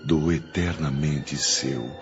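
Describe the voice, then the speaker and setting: Older obsessed lover in a radio play, super slow and grave, threatening, muffled sound. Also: male voice, rumbling low, fairly even intonation, loud music behind the voice, exaggerated voice. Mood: desperate, menacing. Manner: melodramatic, grave. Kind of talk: audio drama acting, reading a letter.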